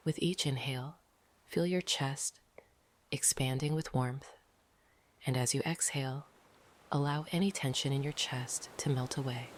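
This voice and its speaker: A gentle, breathy, often whispering meditation guide speaking slowly and calmly, with long pauses between phrases. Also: medium pitch. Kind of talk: slow, soothing guided meditation narration. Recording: noisy, ambient sound behind the voice.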